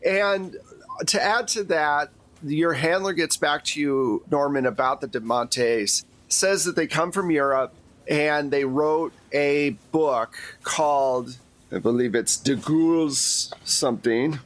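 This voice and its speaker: A man's voice: on the high side, slow, fairly bright tone. A man narrating a tabletop role-playing game as game master, in a casual, conversational storytelling way.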